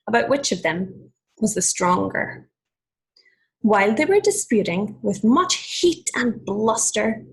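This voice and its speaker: A woman teacher reading a story to children in an expressive, deliberate way, pausing to explain and ask questions. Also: medium pitch, breathy edge, low-quality recording.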